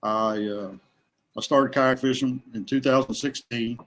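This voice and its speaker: A middle-aged man from West Virginia, chatting casually as a guest in a halting way with pauses. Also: medium pitch, fairly even intonation, fairly bright tone. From West Virginia, USA. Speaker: podcast guest, kayak angler. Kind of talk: casual chat. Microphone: remote online call.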